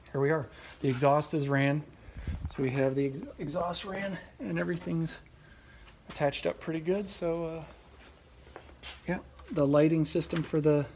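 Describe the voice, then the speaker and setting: A man in his thirties talks loosely and casually into a handheld phone in a large, cluttered workshop, with fillers and self-corrections throughout. His voice sits a bit high for a man. Faint shop noise hangs in the background. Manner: relaxed, rambling, many fillers and restarts. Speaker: channel host. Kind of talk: casual walkaround vlog. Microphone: handheld phone camera close up.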